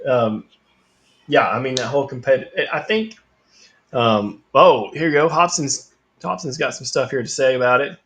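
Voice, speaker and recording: A man hosting a casual chat, easygoing, with lots of fillers and quick agreement. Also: mid-range voice, fairly bright tone. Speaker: host.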